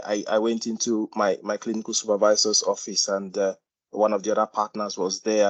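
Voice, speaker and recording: A man speaking on a video call as a meeting panelist, sharing personal stories with lots of fillers. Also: fairly low pitch. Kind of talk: online meeting chat. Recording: dull lo-fi call audio.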